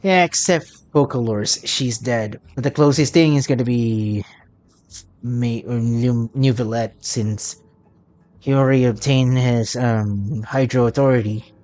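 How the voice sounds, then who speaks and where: A man giving casual, off-the-cuff live gameplay commentary as a streamer, in a halting way. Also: medium pitch, darkish tone. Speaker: game streamer.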